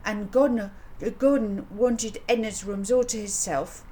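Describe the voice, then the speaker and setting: A woman's voice: mid-range voice, measured pace, mellow, voice pushed up, close to an everyday voice. A woman voicing an earnest little girl in a storybook, explaining things in childish run-on sentences. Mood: indignant, eager. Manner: childish, breathless run-on telling. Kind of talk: children's audiobook character voice. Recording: audible background noise.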